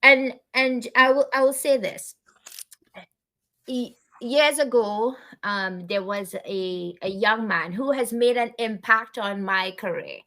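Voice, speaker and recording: A woman's voice: a little high, steady tone, takes it slowly, pushed and bright. A woman guest on a podcast, talking earnestly and reflectively, with frequent fillers and restarts. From Canada. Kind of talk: conversational podcast interview.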